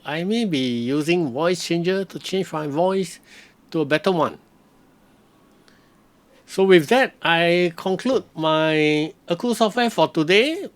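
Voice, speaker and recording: A man narrating a screen-recorded software review as a tutorial, speaking in halting, stop-start phrases. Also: on the high side.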